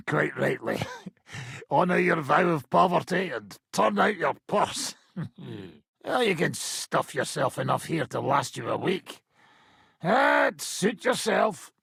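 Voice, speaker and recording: An actor playing a brash, foul-mouthed medieval mercenary commander in a video game, with a rough, raspy voice and a coarse, sardonic swagger. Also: male voice, fairly high pitch, fairly bright tone, no echo, studio quality, heavily acted. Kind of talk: video game character acting.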